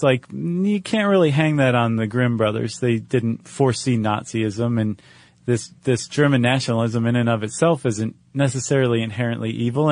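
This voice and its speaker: A man's voice: medium pitch, darkish tone, no echo. An American man co-hosting a podcast, explaining things in an informal, conversational way. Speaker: podcast co-host.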